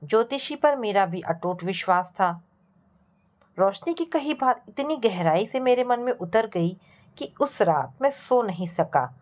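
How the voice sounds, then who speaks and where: A woman reading a scripted first-person story as a narrator, in a measured storytelling way. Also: mid-range voice, darkish tone.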